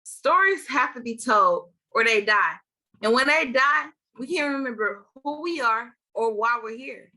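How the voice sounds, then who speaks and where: A teenage girl from Milwaukee giving an energetic, scripted youth-leader talk. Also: on the high side, unhurried, rough recording. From Milwaukee, Wisconsin. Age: teens. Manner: energetic, upbeat.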